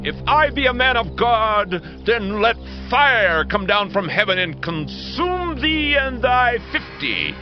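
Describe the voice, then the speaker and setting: Stern, high-pitched prophet, slow. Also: male voice, big pitch swings, exaggerated voice. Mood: stern, commanding. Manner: slow, solemn declaiming. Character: Elijah, stern commanding male prophet. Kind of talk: dramatized Bible audiobook acting. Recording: slightly dull audio with music behind. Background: music.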